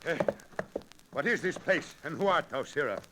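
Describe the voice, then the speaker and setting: A man's voice: fairly high pitch, animated, moderate pace, close to an everyday voice. An actor playing a hearty, commanding nobleman with theatrical archaic speech in a 1940s radio adventure. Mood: wry, challenging. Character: hearty, commanding nobleman on a hunt, disguised as abbot. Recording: noisy 1940s radio recording with clicks.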